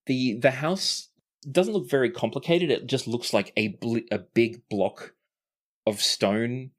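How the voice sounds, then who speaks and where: A game master and host narrating a tabletop roleplaying podcast in a descriptive way, sometimes self-correcting. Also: medium pitch.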